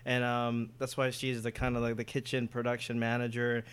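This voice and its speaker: An American man with a smooth, resonant voice at an average pitch. He talks in a casual, conversational way, telling stories about himself and slipping informally into quoted remarks. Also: completely flat delivery, a little fast. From born in Indonesia, moved to the US at five. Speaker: interview guest, food business owner.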